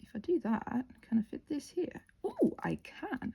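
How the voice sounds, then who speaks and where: A woman with a fairly high, very animated voice that often crackles into fry. She rambles playfully as she works through a craft tutorial, interrupting herself and thinking out loud. Her tone is soft-edged and mellow. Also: normal speed. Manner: scattered, thinking aloud, self-interrupting; playful, expressive exclamations. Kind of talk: rambling casual craft narration.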